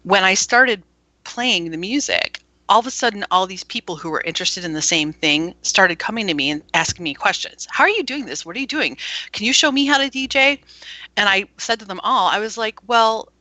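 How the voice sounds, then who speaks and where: A woman's voice: mid-range voice, animated, a little fast, sharp tone, no echo. A woman who founded an internet radio station, chatting casually as an interview guest and laughing often while she tells her story.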